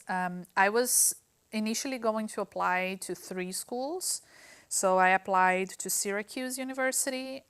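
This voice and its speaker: A woman from Brazil, speaking with a Brazilian Portuguese accent, answers interview questions as a film professor in a friendly way, often pausing with ums. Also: medium pitch, fairly bright tone, clear, resonant tone.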